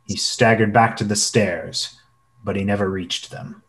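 Low-voiced earnest actor as male storyteller. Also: fairly even intonation, unhurried, natural voice. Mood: earnest, reflective. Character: grown man recalling his boyhood adventure. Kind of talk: scripted dramatic narration.

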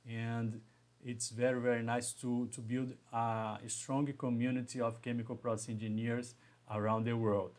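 A man in his thirties with a Brazilian Portuguese accent, hosting a live stream from a small home room into a webcam and talking haltingly, restarting and repeating words as he goes. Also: medium pitch, flat delivery, a little mellow. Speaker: engineering educator hosting a live session. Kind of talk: live stream talk to viewers.

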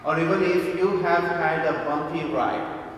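Male priest, fairly high voice, measured homily, emphatic repetition, very reverberant church. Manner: deliberate, emphatic repetition and rhetorical questions. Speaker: priest preaching. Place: large church interior. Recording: echoey church, distant mic, faint rumbling noise.

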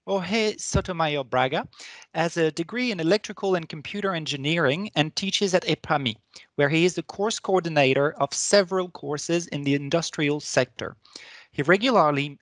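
A man's voice: a little high, fairly bright tone, no room sound. Dry-sounding formal male webinar host. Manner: formal, read-out. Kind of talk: scripted introduction.

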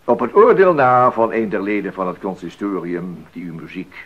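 A man playing a formal, deliberate rector chairing a council in a radio play, speaking in a measured way. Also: low voice, highs cut off, a little hiss or hum, natural voice. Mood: measured, official. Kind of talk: 1980s Dutch radio play acting.

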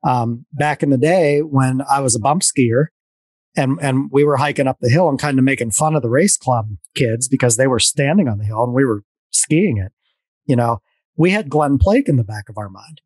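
A middle-aged man hosting a podcast over a video call, telling rambling, animated stories with many restarts. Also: mid-range voice, normal speed, dry recording. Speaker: podcast host. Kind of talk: casual video-call conversation. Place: dim home room. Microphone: headset on a video call.

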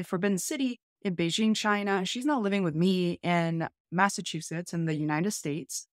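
A woman's voice: medium pitch, no room sound, studio quality. A woman around her forties hosting a conversational tech demo from a room at home. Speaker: YouTube host. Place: small home room, indoors.

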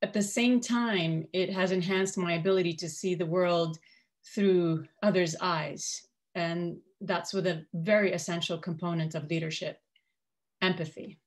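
A woman in her forties from Kuwait speaking on a panel, telling her personal story in a measured, articulate way. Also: lowish voice, steady tone. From Kuwait, of Syrian parents. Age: 40s. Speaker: panel speaker, coach and media professional. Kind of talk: personal storytelling in a prepared talk.

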